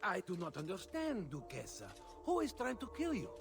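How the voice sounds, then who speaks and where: A man's voice: high voice, huge pitch swings, moderate pace, creaky, exaggerated voice. A man voicing a deferential, bemused palace attendant in a video game. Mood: puzzled, deferential. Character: deferential, bemused male palace servant or guard. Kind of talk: video game cutscene acting. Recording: prominent music behind the voice.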